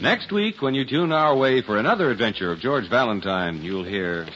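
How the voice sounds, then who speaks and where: Animated 1940s male radio announcer. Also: mid-range voice, normal speed, over loud music. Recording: dull old radio recording.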